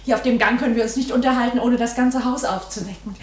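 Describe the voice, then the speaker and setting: A woman acting a weary young secretary in a German radio drama, curious and quickly alarmed. Her voice is fairly high and breathy, often hushed, on a slightly noisy old recording. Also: steady tone, lightly acted. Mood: polite, tired.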